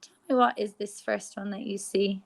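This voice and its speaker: An Irish woman teacher giving a phonics lesson to young children, sounding out letters slowly and clearly. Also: medium pitch, fairly even intonation. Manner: slow, exaggerated sounding out of letters.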